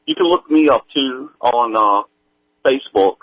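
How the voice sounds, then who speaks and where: A man speaking as an interview guest, chatting casually in a halting, folksy, informal way. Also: medium pitch, dry recording. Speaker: guest, audio drama creator. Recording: tinny, phone-like band-limited line.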